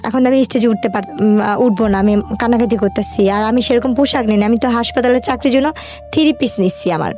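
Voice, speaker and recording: High-voiced Bangladeshi woman telling her story. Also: fast, darkish tone, faint music behind. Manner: colloquial, run-on narration with quoted dialogue. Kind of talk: personal storytelling in an interview, recounting conversations.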